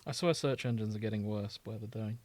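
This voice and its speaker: A man's voice: on the low side, a little fast, a little mellow. A British man hosting a casual podcast, chatty and a little self-deprecating. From Britain. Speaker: podcast host.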